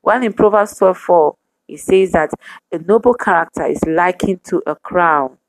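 A woman preaching a sermon to women, speaking in measured, emphatic repetitions. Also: on the low side, dry recording, rough recording.

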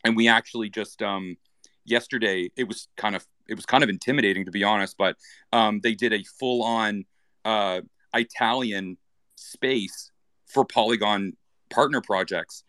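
A man's voice: lowish voice, moderate pace, fairly bright tone, no room sound. A man from Canada chatting casually, rambling with many fillers and restarts. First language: English. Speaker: guest. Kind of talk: casual chat in an online audio space.

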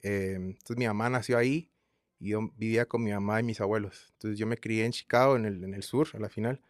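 A man in his thirties from Guatemala with a Guatemalan Spanish accent, chatting as an interview guest in a relaxed, rambling way full of fillers. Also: lowish voice, fairly quick, no echo, studio quality. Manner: relaxed rambling with many fillers and repeated tags. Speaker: guest singer. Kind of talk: casual interview chat.

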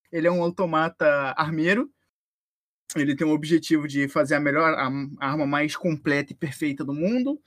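A man's voice: on the high side, fairly bright tone, no echo, clean studio sound. A man with a Brazilian accent chatting casually as a player on a role-playing podcast. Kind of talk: casual chat introducing a game character.

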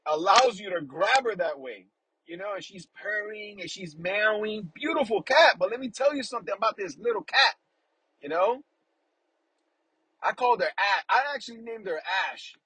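A man's voice: high-pitched, husky, no room sound, poor audio. A man hosting a casual faith talk, rambling affectionately and often asking "you know what I'm saying?". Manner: affectionate, warmly emotional about family. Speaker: podcast host.

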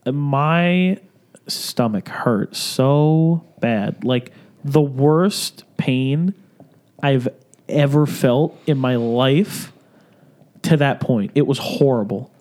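A man's voice: mid-range voice, very slow. Casual young man telling a story. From Syria. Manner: relaxed storyteller, laughs through anecdotes, swears casually. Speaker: podcast co-host.

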